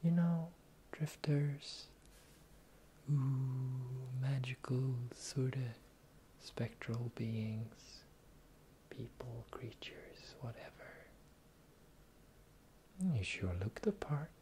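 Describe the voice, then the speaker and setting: A performer voicing a sly, teasing pirate captain in a slow, breathy, whispered fantasy ASMR roleplay. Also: medium pitch, dark tone, a little hiss or hum. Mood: mysterious, musing. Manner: teasing, slow, drawling, theatrical.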